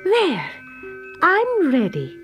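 A woman's voice: very high-pitched, wildly swooping pitch, very slow, music in the background, pitched above the performer's own voice, exaggerated voice. A woman doing a sly, fussing young baker. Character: clever young woman baker, cheerfully scheming.

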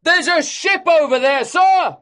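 A woman voicing an anxious young male sailor in a storybook reading, calling out excitedly. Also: very high voice, unhurried, pitched above the performer's own voice. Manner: excited, shouting.

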